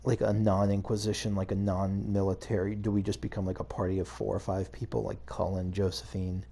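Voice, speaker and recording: A man's voice: low-pitched, monotone, fairly quick, dark tone. A man giving casual video game playthrough commentary, hesitantly thinking aloud as he goes. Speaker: gaming video host.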